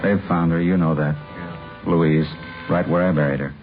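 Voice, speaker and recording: An actor playing a resigned, obsessed doctor who confesses in a halting, brooding way, in 1950s radio drama style. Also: male voice, low voice, steady tone, soft background music, natural voice. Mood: brooding confession. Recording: muffled, hissy old radio recording.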